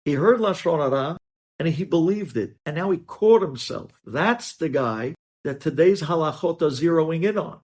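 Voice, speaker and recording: A man's voice: fairly high pitch, lively intonation, normal speed, darkish tone. A man speaking as a rabbi giving a religious lesson, explaining emphatically and repeating key phrases. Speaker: rabbi teaching religious law. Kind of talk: religious lesson with hypothetical examples.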